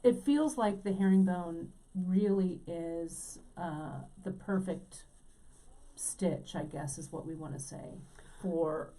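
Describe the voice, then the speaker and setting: Mellow-voiced woman showing beads. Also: fairly low pitch, slow pace. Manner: enthusiastic, filler-heavy. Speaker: co-host. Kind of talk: casual chat, craft demonstration.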